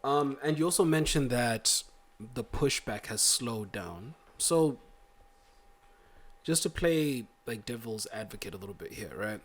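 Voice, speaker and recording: A man's voice: mid-range voice, lively intonation, a little slow. A man hosting a casual interview, asking probing questions in an informal, roundabout way. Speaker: interview host.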